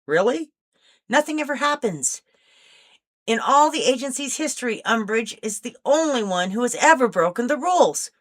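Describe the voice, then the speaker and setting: A woman's voice: on the high side, sing-song, normal speed, voice pushed up. A woman voicing a restless, teasing female field agent with an informal manner in a story reading. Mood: restless, yearning.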